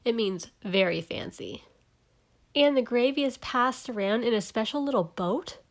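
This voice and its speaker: Higher-pitched woman reading a children's storybook. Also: normal speed. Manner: animated, clearly enunciated, spells out and explains words. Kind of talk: expressive read-aloud storytelling for young children.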